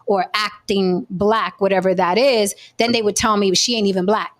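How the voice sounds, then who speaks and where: A woman's voice: mid-range voice, fairly even intonation, normal speed. A woman from Puerto Rico speaking bluntly and with profanity as she gives her opinions in a casual panel discussion.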